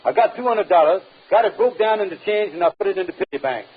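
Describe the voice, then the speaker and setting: An actor playing a blustery, conniving middle-aged husband in a vintage radio comedy, theatrical and folksy. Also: male voice, sits high, fast talker, breathy edge. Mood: pleased, explaining. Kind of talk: 1950s radio situation comedy acting. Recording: tinny, narrow old radio recording.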